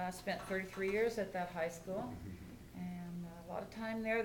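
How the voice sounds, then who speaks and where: An older American woman, a mayor chairing a public meeting and making informal remarks. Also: medium pitch. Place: indoor council meeting room. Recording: echoey meeting room with background noise.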